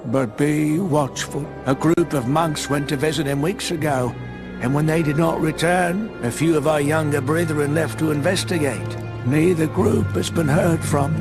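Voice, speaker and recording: An actor playing a grave male monk owl who warns and gives instructions in a video game cutscene, over loud game music. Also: fairly high pitch, unhurried, lightly acted. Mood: solemn. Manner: solemn, cautioning.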